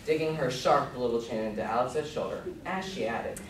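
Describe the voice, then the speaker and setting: A man's voice: medium pitch, moderate pace. A man reading scripted storybook narration in a readers theater performance. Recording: echoey room, distant mic, noisy.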